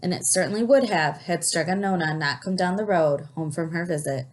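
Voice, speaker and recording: A woman's voice: lowish voice, rough recording. Woman reading a story aloud to children. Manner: animated, pauses to question young listeners and explain words. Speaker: teacher reading a picture book aloud to children. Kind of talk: expressive read-aloud storytelling.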